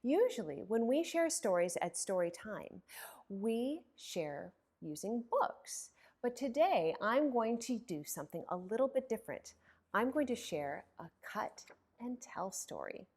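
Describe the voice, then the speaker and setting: A woman's voice: a little high, very animated, unhurried, darkish tone. A woman hosting a children's story time, speaking in a singsong, encouraging way with simple words and an exaggerated, dramatic delivery. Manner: simple, encouraging talk aimed at young children.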